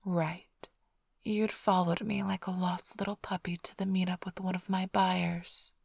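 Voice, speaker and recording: A woman playing a flirtatious, smug immortal vampire thief in an ASMR roleplay, teasing the listener in a breathy, half-whispered, languid drawl. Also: fairly low pitch, little pitch movement, measured pace, darkish tone, no room sound, full character voice. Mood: teasing, nostalgic. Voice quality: breathy, often sinking into whisper.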